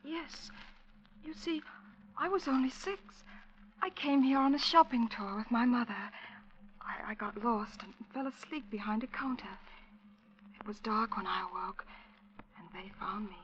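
A woman acting a timid, unhappy young servant in a 1940s radio drama, breathy and faltering. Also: on the high side, a little slow, pauses often, natural voice. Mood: sad, hushed. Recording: muffled, noisy old radio recording.

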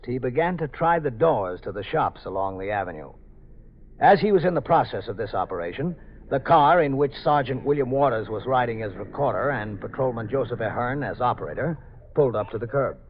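An American man playing a police captain who narrates his night tour in a measured, matter-of-fact, official manner in a 1950s radio drama. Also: medium pitch, animated, a little hiss or hum, lightly acted. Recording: dull 1950s radio broadcast.

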